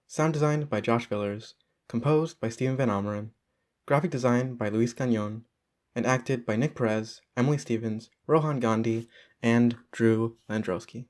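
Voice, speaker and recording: A man's voice: medium pitch, a little mellow. A man reading a scripted announcement of credits.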